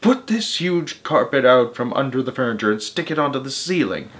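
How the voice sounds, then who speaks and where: A man voicing a bossy, excitable monkey father who shouts urgent commands in a children's storybook. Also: medium pitch, fairly quick, natural voice. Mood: shouting, impatient. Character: bossy, frenzied monkey father leading a revenge trick.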